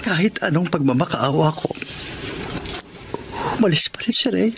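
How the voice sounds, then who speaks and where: A man's voice: on the high side, expressive, normal speed, creaky. An actor playing a heartbroken young man who begs his partner not to leave, in an emotional radio drama with music behind him. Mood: mournful narration. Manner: emotional, pleading, rises to desperate begging. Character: heartbroken young man Carlos, pleading lover. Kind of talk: Tagalog radio drama acting.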